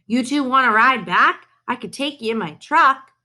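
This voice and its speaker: A woman's voice: high-pitched, expressive, normal speed, bright, forceful tone, voice pushed up, exaggerated voice. Woman reading as a friendly rancher man. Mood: approving, friendly. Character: friendly, plain-spoken rancher man who loves dogs.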